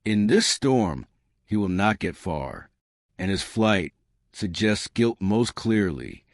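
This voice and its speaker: A man's voice: fairly low pitch, big pitch swings, slow, dry recording, studio quality, heavily acted. A man voicing a courteous little male detective in an audiobook mystery, formal, precise and authoritative. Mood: deliberating.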